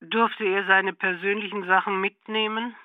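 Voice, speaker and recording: A woman's voice: medium pitch, fairly even intonation, moderate pace, no echo, phone-quality sound, lightly acted. A woman playing a sober, curious interviewer in a radio play, putting short, pointed questions. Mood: probing.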